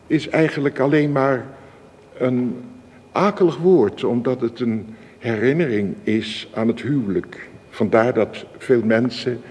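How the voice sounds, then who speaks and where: A man playing a solemn church elder in a radio drama, giving a formal, measured, preachy ceremonial speech. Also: medium pitch, a little mellow, slightly rough. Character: solemn male church elder presenting a wedding Bible. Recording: reverberant hall, hissy old radio recording.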